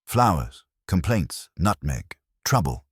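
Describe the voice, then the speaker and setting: Male actor as wisecracking detective for audiobook mystery, rock-bottom pitch, dry close sound. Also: sing-song, slow, fairly bright tone, husky, no echo, heavily acted. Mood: wry. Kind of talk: audiobook character dialogue.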